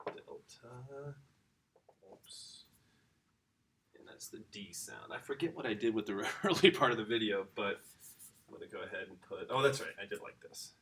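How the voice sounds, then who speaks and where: Low-voiced man teaching casually at a board. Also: normal speed, long pauses, slight background noise. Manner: relaxed and chatty, self-correcting while writing on a board. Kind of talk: casual classroom video lesson.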